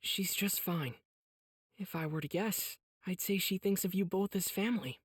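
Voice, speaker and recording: A man's voice: high-pitched, moderate pace, echoey room, long reverb tail, lightly acted. An actor playing an earnest, kind and determined teenage boy demon slayer in an anime game. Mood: gentle.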